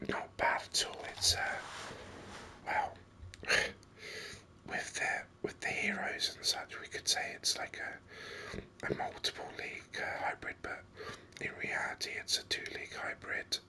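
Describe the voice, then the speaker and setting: Whispered ASMR game commentary, breathy, very sharp and bright, slow, hesitant musing, close mic. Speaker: gaming video host.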